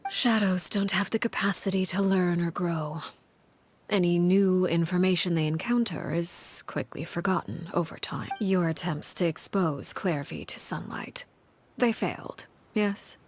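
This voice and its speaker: A cold, commanding matriarch of an orphan household speaks in a low, husky, almost hushed tone. She talks calmly and measuredly, with a quiet menace under every word. Her voice sits deep for a woman and is slightly breathy. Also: a little slow. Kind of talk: video game voice acting.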